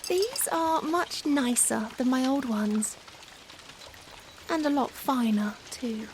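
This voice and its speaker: A woman playing a young, charming female Victorian vampire in a softly spoken ASMR roleplay, gentle and caring, with formal old-fashioned phrasing. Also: high-pitched, takes it slowly. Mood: warm, lightly amused. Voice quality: soft, gentle. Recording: steady rain noise behind the voice. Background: rain ambience.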